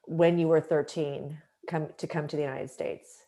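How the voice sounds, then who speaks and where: A woman hosting an interview, introducing her guest with admiring, unhurried words. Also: sits low, steady tone, dark tone. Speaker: interview host. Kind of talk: conversational interview.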